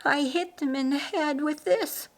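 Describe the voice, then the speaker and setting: Woman acting a shaken, high-voiced young woman. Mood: adrenaline-stoked, insistent. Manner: halting, repeating words, insistent. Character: shaken, earnest young woman in her twenties, biblical times.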